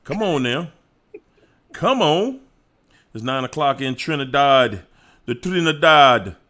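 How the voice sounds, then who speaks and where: Slow-talking playful man hosting a livestream. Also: medium pitch, very animated, pushed and bright. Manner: playful, hypes up chat, sings snippets and laughs. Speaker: livestream host. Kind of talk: casual livestream chat greeting viewers.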